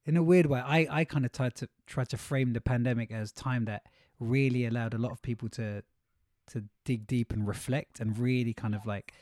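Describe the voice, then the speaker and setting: A man hosts a casual podcast chat. His voice is mid-pitched and recorded dry, and he talks informally, circling around his point as he goes. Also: steady tone, moderate pace, a little mellow, dry recording. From London, England. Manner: meandering, informal, hedging. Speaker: podcast host.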